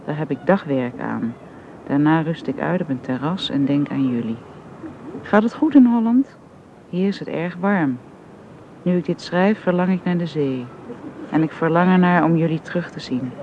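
An actress playing a caring, devoted woman who minds a child in a radio drama, with a gentle, encouraging manner. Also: sits low, lively intonation, moderate pace. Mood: affectionate reading. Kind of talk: naturalistic radio drama acting. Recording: muffled, noisy old radio recording.

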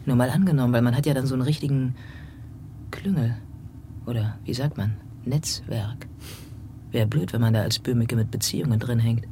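Actor as a dry, needling police detective, noisy surroundings. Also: male voice, medium pitch, moderate pace, natural voice. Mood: sly insinuation. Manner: probing, dry, ironic. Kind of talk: German radio drama dialogue.

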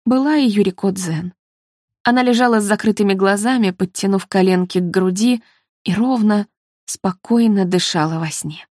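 A woman reading literary narration in a measured, expressive way. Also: medium pitch, dry recording, studio quality. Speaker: audiobook narrator.

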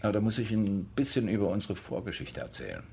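A man's voice: lowish voice, big pitch swings, fast, creaky, warm tone. An actor playing a self-assured film producer casually recalling his army days on a played-back interview tape in a radio drama. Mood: self-assured, reminiscing. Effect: played-back tape recording. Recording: dull taped interview with background noise.

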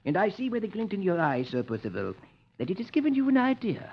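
A woman's voice: medium pitch, sing-song, fast talker, breathy edge, highs cut off, soft background music. A woman playing a loyal, respectful servant who speaks deferentially in a radio serial.

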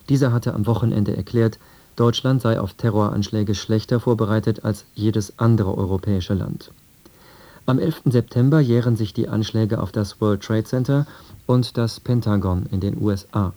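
A man reading a scripted radio news and weather bulletin in a sober, measured manner. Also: lowish voice, warm tone. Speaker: radio newsreader.

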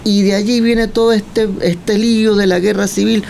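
A man's voice: high voice, fairly even intonation, creaky, darkish tone, slight background noise. A man from Cuba, chatting and teasing as he questions a guest and tells long stories. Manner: chatty, teasing, drawn-out storytelling. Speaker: guest interviewer. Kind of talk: casual chat.

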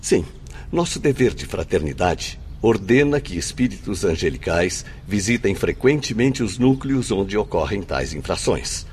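Deep, solemn Brazilian spirit mentor. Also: male voice, sing-song, darkish tone, slight background noise. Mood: patient teaching. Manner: formal, didactic, measured. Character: benevolent solemn male spirit mentor, instructive and grave. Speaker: radio drama actor. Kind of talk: solemn Brazilian radio drama acting. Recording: music under the voice.

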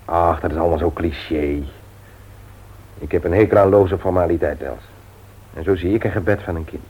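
A man's voice: low-pitched, moderate pace, a little mellow, breathy edge. An actor playing a teasing, forgetful husband and father in a naturalistic radio drama, speaking in a hesitant, conversational way. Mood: defensive. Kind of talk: naturalistic Dutch radio play acting. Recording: noisy old radio play recording, clicks.

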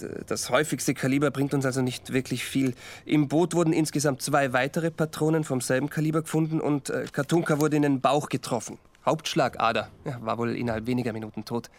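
A man's voice: mid-range voice, natural voice. A man playing a matter-of-fact forensic cop. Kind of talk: radio drama acting.

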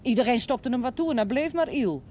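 A woman's voice: a little high, animated, fairly quick, a little mellow, lightly acted. An actress playing a chatty, forthright countrywoman who gossips bluntly and with plenty of exclamation. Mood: amused storytelling. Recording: noisy old TV drama soundtrack.

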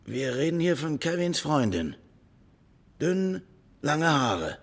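A man's voice: a little high, very animated, slow pace, husky, heavily acted. An actor playing a cocky, evasive small-town tough guy in a radio drama, with a wry manner. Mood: incredulous.

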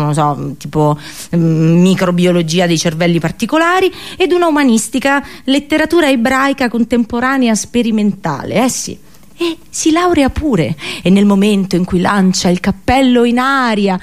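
A woman performing a comic theatrical monologue for radio, colloquial and sarcastic, full of exaggerated rhetorical questions. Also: a little high.

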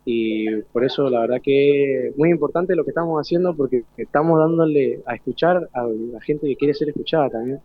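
A man's voice: mid-range voice, brisk, few pauses, no room sound. A man speaking casually as an interview guest, searching for his words as he presents a song. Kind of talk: casual conversation. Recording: dull lo-fi live broadcast audio.

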